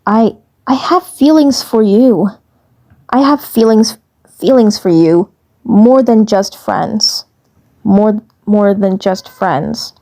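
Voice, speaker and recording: A woman's voice: mid-range voice, slow pace, dark tone. A woman voicing a small male cartoon commander, teasing and smitten, who repeats his phrases hesitantly and earnestly. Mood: nervous, earnest.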